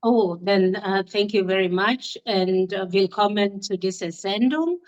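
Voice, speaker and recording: A woman's voice: medium pitch, a little slow, lo-fi sound. A woman hosting a podcast interview, asking questions with frequent fillers and hesitations. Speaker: podcast host.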